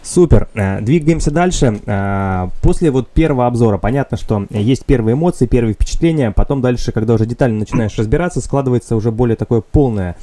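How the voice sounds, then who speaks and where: Mellow-voiced man hosting a stream. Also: medium pitch, no room sound. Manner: formal, halting with fillers. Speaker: channel host. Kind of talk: live-stream interview.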